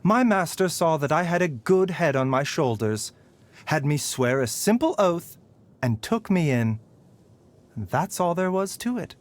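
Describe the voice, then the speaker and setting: A man voicing a gentle, learned doctor and herbalist in a video game, speaking in a measured, courteous, soft-spoken and reflective way. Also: on the high side, sing-song. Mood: solemn, reflective. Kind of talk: English game voice acting.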